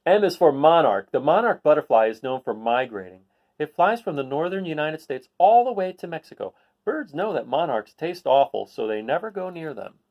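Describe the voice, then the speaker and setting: Guy reading a kids picture book out loud, kinda higher voice, super up and down, chatty explaining as he goes. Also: big pitch swings, normal speed, dull audio. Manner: explanatory, addressing young listeners. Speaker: read-aloud host. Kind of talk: reading a children's picture book aloud with casual asides.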